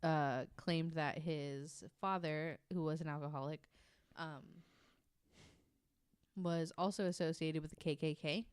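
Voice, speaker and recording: Female podcast storyteller, deep and clean-toned, informal and wry, reading from notes with loose banter. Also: fairly even intonation, a little slow, clear, resonant tone, no echo, clean studio sound. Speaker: podcast host.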